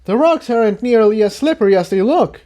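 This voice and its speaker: Young stallion adventurer, voiced by a man: storybook hero, lightly lifted pitch, plain, protective, full of practical advice. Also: lively intonation, brisk, dark tone. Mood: encouraging, careful.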